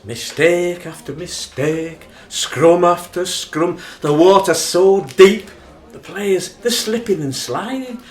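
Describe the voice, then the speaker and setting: An actor with a Northern English accent playing an impassioned rugby fan in a radio play, brooding over an old defeat. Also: male voice, high-pitched, heavily acted. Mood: agitated disbelief. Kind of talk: dramatic radio play retelling. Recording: roomy, echoing sound.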